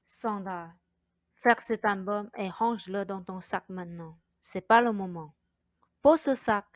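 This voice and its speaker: A woman's voice: medium pitch, unhurried, dry recording, studio quality, natural voice. A woman playing a meek, evasive father in a radio drama, with a hesitant manner. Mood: exasperated. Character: meek, evasive father eager to keep peace. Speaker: student actor.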